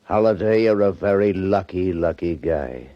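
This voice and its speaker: Gruff deep-voiced radio cop. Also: male voice, animated, normal speed, no echo. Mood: relieved, blunt. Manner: gruff, slangy, tough. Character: gruff, exasperated male police detective. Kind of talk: 1940s radio drama acting. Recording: dull 1940s radio recording.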